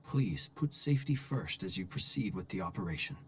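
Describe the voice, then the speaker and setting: An actor voicing an unflappable, wry and courteous adventurer in a video game, with understated, formal phrasing. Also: male voice, deep voice, expressive, a little fast. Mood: calm, courteous. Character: unflappable, wry, courteous male lighthouse-dwelling adventurer with a curse.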